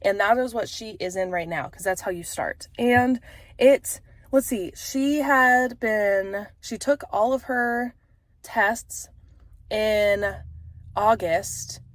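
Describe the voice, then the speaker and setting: A woman's voice: mid-range voice, takes it slowly, no room sound. A woman in her thirties with a stuffy, congested voice, talking frankly and casually to camera with frequent fillers. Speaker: vlogger and author.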